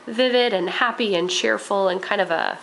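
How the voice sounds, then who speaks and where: A woman's voice: medium pitch, moderate pace, vocal fry, fairly bright tone, a little hiss or hum, low-quality recording. A young woman chatting enthusiastically about her crafts with lots of ums, a little nasal while getting over a cold. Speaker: crafting vlogger. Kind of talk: casual show-and-tell vlog.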